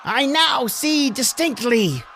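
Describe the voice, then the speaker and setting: A man voicing a vengeful, gleeful dwarf court jester with a shrill, theatrical delivery in a horror radio tale. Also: lively intonation, bright, forceful tone, slight background noise, exaggerated voice. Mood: vengeful triumph. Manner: drawn-out, theatrical declaiming. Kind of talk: dramatic storytelling in old horror radio manner.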